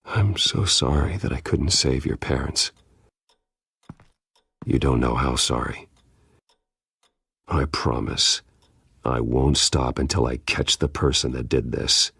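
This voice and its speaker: An actor playing a grim, protective masked vigilante detective in a video game, speaking tersely in a gravelly growl. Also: male voice, rumbling low, bright, forceful tone, no echo, exaggerated voice. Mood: reassuring, solemn. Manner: terse, deliberate. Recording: music behind the voice.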